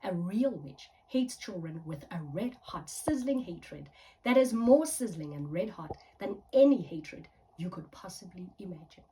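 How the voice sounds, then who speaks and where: A woman's voice: on the low side, lively intonation, unhurried, warm tone, a little hiss or hum, poor audio. A woman who writes children's books, reading a story aloud with dramatic storytelling delivery. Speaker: children's author.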